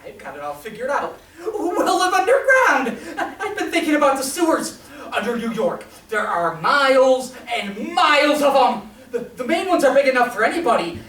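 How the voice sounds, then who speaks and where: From far off on an echoing stage comes a woman acting a manic, embittered artilleryman in an old-time radio play. The voice is high and unhurried overall, but stammers excitedly and swells toward shouts as he schemes to rule. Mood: eager scheming. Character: manic, bitter male artilleryman dreaming of ruling the world. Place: stage performance in a roomy theatre. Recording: echoey stage, captured from far off.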